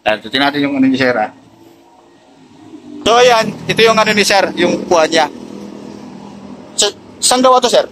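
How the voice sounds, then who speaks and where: A man's voice: high voice, very animated, normal speed, long pauses, a bit bright. A Filipino man chatting casually as he shows products to a customer and viewers, often addressing the customer respectfully. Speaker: motorcycle accessories seller and vlogger. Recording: noisy location with vehicle sounds.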